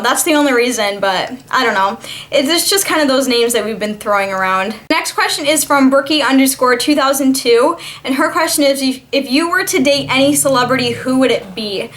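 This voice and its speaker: A young woman of twenty speaks in a fairly high voice. She talks in an informal, chatty vlog style, as someone taking questions from her viewers would. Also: a bit bright. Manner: chatty, informal, reads questions aloud then answers. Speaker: vlogger answering viewer questions. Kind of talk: casual Q&A vlog chat.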